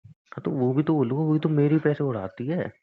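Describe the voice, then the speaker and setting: A man's voice: fairly high pitch, normal speed, lightly acted. A young man playing a pleading, sulky, clingy boyfriend in a casual romantic phone-call skit, with a whiny, coaxing manner. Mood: defensive, whining. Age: young adult. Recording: thin, narrow phone-line sound.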